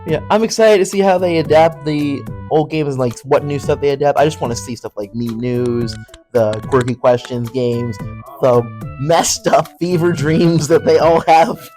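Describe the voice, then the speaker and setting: A man's voice: fairly high pitch, normal speed, dark tone, soft background music. A young adult man giving a casual, spontaneous opinion as an interviewee. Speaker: street interviewee.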